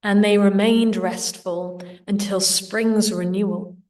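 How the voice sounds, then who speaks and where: A woman guides a meditation at a very slow, unhurried pace. Her delivery is gentle and soothing, with a medium pitch. The voice sits some way back from the microphone. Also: fairly even intonation, far from the mic.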